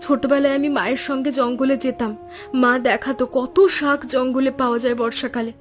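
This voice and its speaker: A kind village wife from a cartoon folk tale speaks in a clearly high voice, performed by a woman. She is caring, practical and reassuring. Music plays underneath her. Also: fairly even intonation, fairly quick, lightly acted. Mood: confident, reassuring. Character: kind, resourceful village woodcutter's wife, young mother. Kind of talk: Bengali cartoon story voice acting.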